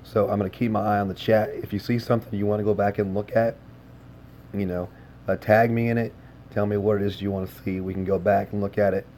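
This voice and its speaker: Man, hoarse voice, low pitch, mellow tone, casual livestream chat, relaxed. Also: quick pace, a little hiss or hum. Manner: easygoing, chats with viewers, many filler words. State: hoarse, lost voice. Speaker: game streamer.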